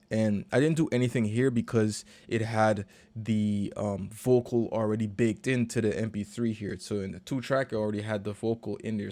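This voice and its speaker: A man, a recording and mixing engineer, casually walking through a session in a relaxed, slangy, conversational way. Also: lowish voice, no echo. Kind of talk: casual screen-share walkthrough.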